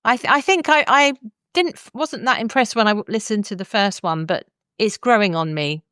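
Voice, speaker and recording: A woman podcast host with a smooth, clear voice. She talks in a conversational reviewing style, and the sound is close and dry. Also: medium pitch, normal speed, a bit bright, no room sound.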